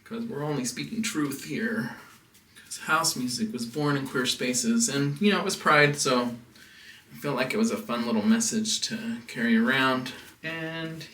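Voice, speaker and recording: An American man tells a story casually to his viewers, wandering and pausing as he goes. His medium-pitched voice sounds set back from the microphone in a reverberant room. There is a little noise behind him. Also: pushed and bright. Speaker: house music producer and DJ hosting a vlog. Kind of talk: casual chat, personal storytelling. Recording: roomy, distant mic with background noise.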